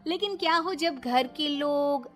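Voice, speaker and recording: A woman's voice: sits high, a little slow, fairly bright tone. A woman narrating a family story in a dramatic scripted style. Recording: music under the voice. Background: music.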